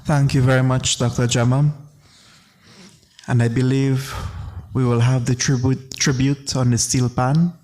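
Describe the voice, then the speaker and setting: Trinidadian man, flat-toned emcee. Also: medium pitch, a little slow. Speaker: memorial service emcee. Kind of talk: introducing speakers at a memorial.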